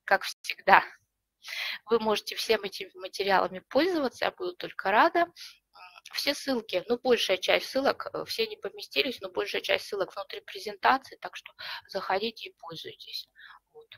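A middle-aged woman presenting an online webinar from home, explaining a tool step by step in a friendly way with frequent pauses and fillers, a slight cough lingering. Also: mid-range voice, big pitch swings, gravelly, a bit bright, no echo. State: recovering from a cough. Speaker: webinar presenter, librarian and teacher. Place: home room with bookshelves. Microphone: webcam video call.

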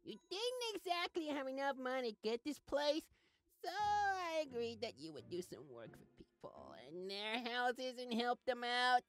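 A woman voicing a perky, teasing little cartoon sidekick in a comedy game series, chirpy, excitable and coaxing. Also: high voice, moderate pace, faint music behind. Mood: sheepish. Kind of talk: voice-acted comedy over gameplay.

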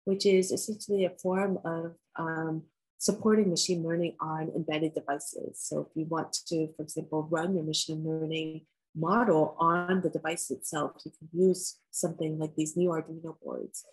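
A woman's voice: low-pitched, level tone, moderate pace, husky, poor audio. A woman researcher answering a question on a video call, explaining in a friendly way with some fillers. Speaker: presenting researcher. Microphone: laptop mic on a video call.

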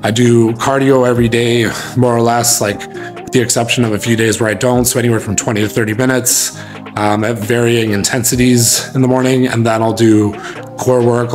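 A man in his thirties, a bodybuilding coach, chatting casually to camera in a small room, his voice a little raspy from a cold, rambling with many fillers. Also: mid-range voice. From Canada. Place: small bright room indoors.

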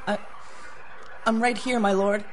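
A cowed youngster acted by a woman pleads timidly in an old-style radio drama. The voice sits at a middling pitch and sounds hesitant and afraid, over faint noise. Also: steady tone, measured pace, natural voice. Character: frightened, cowed child forced to steal.

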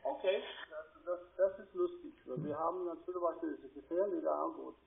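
A man with a foreign accent, a non-native speaker, talking haltingly and repetitively on a sales call. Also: fairly high pitch, gravelly. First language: not German. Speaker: call-centre trader. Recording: tinny narrowband phone line.

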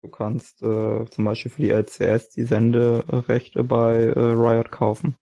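A man's voice: mid-range voice, monotone, a little slow, creaky, dark tone. A man chiming in on a casual podcast discussion. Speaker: podcast panelist.

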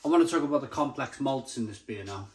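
A man presents an informal tasting review in a medium-pitched voice. He speaks off the cuff, straight to the viewer, with open enthusiasm. He likes to linger on descriptive words and repeat them as he savours what he is describing. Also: lively intonation, fast. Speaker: beer review channel host. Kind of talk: casual unscripted tasting review to camera.

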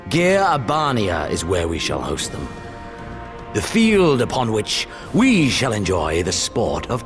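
An actor playing a cold, arrogant young imperial prince in a video game cutscene, speaking in a languid, mocking and menacing way. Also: male voice, on the high side, exaggerated voice.

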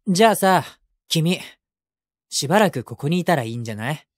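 Man acting boyish young delinquent, cheeky, playful, very lively pitch, audio drama. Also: brisk, no echo, studio quality. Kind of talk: Japanese boys' audio drama.

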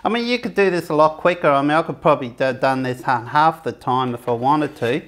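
A man's voice: on the high side, fairly quick, fairly bright tone, a little hiss or hum. A man giving a casual, rambling cooking demonstration for his camping review channel, often restarting his sentences. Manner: chatty, rambling, self-correcting.

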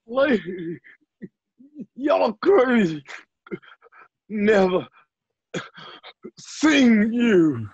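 A man performs a terrified, wounded outlaw in a radio Western. His voice is very high and gravelly, very slow, in dull home-call sound. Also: sing-song, a little mellow, no echo, exaggerated voice. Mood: scared, defiant. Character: terrified, wounded male bandit begging for his life. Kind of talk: Western radio play acting.

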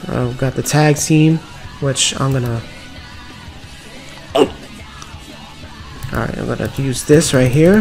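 A man with a slightly raspy voice from a cold, giving upbeat, rambling commentary over mobile card game play. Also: mid-range voice, darkish tone. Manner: upbeat, filler-heavy running commentary. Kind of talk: casual gameplay commentary. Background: background music.

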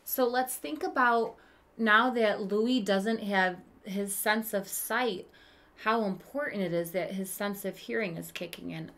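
A woman's voice: mid-range voice, unhurried, fairly bright tone. A woman in her thirties reading a children's storybook aloud expressively, adding asides and questions for young listeners. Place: small room with bookshelf, indoors.

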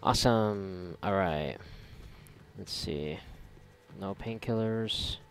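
A man giving casual, reactive commentary while gaming, sarcastic and foul-mouthed, with a gravelly voice. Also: sits low, slow. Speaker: gamer recording a playthrough. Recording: noisy, game gunfire behind voice. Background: video game gunfire and ambience.

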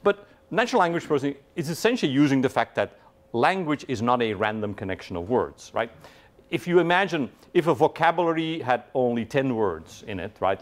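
A middle-aged man lectures to a packed hall in a conversational, lively way. His pitch moves widely as he keeps asking "right?" and quizzes the room. His voice sits at an average pitch for a man. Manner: animated, peppered with "right?", quizzes the audience. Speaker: guest lecturer. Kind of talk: university lecture. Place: large lecture hall with audience.

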